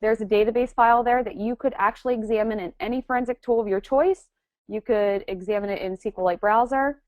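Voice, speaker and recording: A woman's voice: on the high side, a little fast, no room sound, phone-quality sound. Woman, has a cold, technical webinar instructor, explanatory, frequent fillers, dry sound. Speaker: webcast presenter, forensics instructor.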